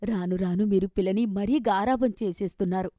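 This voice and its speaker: A woman's voice: medium pitch, a little fast, warm tone, no room sound, natural voice. Lady playing a suspicious middle aged mom in a cartoon story, naggy questioning and scolding, dry sound. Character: suspicious, stern middle-aged mother and nagging wife.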